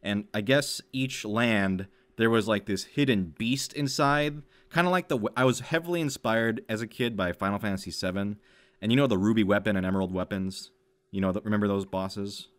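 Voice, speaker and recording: A man's voice: fairly low pitch, normal speed, dry recording. A man chatting casually as a podcast host and reading aloud, explaining things in a hedging, self-deprecating way. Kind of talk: casual chat and reading old fan fiction aloud.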